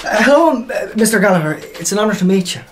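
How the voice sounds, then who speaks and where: Fast-talking, nervous young Irish man. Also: high-pitched, big pitch swings, slight background noise. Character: nervous, boastful young Irish male fiancé. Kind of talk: audio drama acting.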